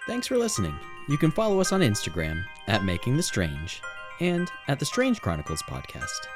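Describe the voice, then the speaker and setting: A man's voice: medium pitch, huge pitch swings, normal speed, over music. A man co-hosting a podcast in a casual, playful style. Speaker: podcast co-host.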